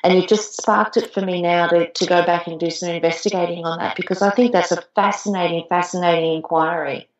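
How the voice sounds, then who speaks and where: A woman's voice: on the low side, steady tone, a little fast, few pauses. A woman co-host from South Africa, speaking thoughtfully and hesitantly in a casual chat. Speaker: podcast co-host. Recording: roomy, dull lo-fi sound.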